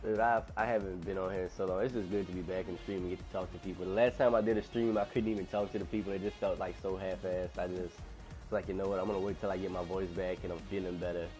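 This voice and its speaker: A man's voice: low voice, fairly even intonation, quick pace, darkish tone, faint music behind, low-quality recording. A man streaming a game live, chatting casually and rambling, his voice nasal because he is still getting over being sick. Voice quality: nasal from a cold. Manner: chatty, rambling, complains about rude players. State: recovering from illness. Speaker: gaming streamer.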